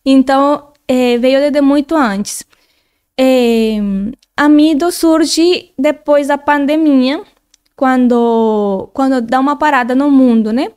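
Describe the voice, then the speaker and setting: A woman's voice: high voice, steady tone, slow pace, a little mellow. A Colombian woman speaking with a Spanish accent as a guest, talking earnestly in casual conversation and restarting her phrases often. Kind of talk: casual interview chat.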